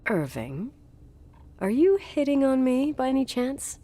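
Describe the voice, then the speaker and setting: A woman's voice: high voice, sing-song, unhurried, warm tone, exaggerated voice. An actress playing a strong-willed young woman in a video game, guarded and dryly teasing, haunted by her past. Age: young adult. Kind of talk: naturalistic video game voice acting.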